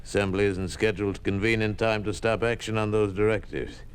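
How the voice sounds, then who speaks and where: A man playing a smug, low-voiced communist official. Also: flat delivery, fairly quick, slight background noise, close to an everyday voice. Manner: condescending, sardonic, coolly threatening. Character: smug, menacing communist official taunting a rival. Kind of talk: 1950s radio drama acting.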